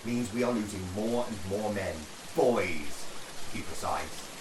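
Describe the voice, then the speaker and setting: An actor playing an avuncular upper-class army officer in a wartime radio play, with a hearty, old-fashioned way of talking. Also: male voice, on the low side, unhurried, natural voice. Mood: grave. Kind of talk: period war radio drama acting. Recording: echoey, distant, loud rain noise.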